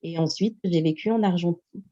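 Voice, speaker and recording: A woman from France with a low, mellow voice answers interview questions thoughtfully, pausing often on fillers. The sound is dull and lo-fi, like a video call. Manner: hesitant, thoughtful, many fillers. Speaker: guest, Spanish teacher.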